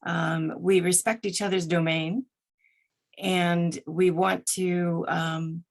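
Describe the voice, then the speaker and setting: A woman who works as an audiobook narrator, giving conversational interview answers in deliberate, articulate phrases. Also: on the low side, fairly even intonation, creaky.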